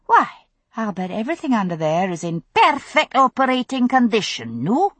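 Young woman, wry and brisk, husky and lively, read by a woman narrator. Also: on the high side, dry recording, pitched above the performer's own voice. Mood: sharp. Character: young woman, quick and sharp-tongued.

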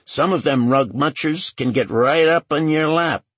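A man's voice: on the high side, a little slow, fairly bright tone, breathy edge, no room sound, voice pushed up. A man voicing a brash, sarcastic, streetwise woman agent who talks in taunting, profane slang, in an audiobook. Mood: menacing, crude.

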